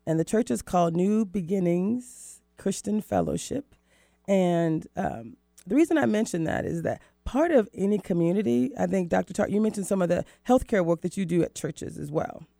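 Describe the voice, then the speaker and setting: A woman's voice: lowish voice, animated, moderate pace, creaky, mellow, dry recording, clean studio sound. A woman hosting a radio talk show in an emphatic, enthusiastic, conversational way. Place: dry radio studio.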